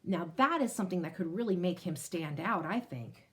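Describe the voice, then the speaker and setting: Expressive woman reading a storybook to children. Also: on the low side, normal speed. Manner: explanatory, addresses young listeners directly. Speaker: teacher reading a picture book aloud to children. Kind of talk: expressive storybook read-aloud with asides to children.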